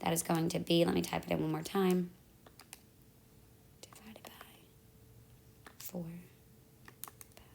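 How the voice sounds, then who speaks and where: A woman's voice: lowish voice, little pitch movement, unhurried, creaky, long pauses, darkish tone. A woman teacher who is losing her voice, walking through math problems step by step and correcting herself as she goes. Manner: talks through calculator steps, self-correcting. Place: at home in the evening.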